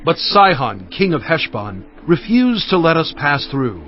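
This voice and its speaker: A man as Moses, steady and measured. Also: a little high. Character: Moses, an aging male leader recounting his people's journey. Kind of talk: dramatized scripture reading. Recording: dull lo-fi audio with faint music.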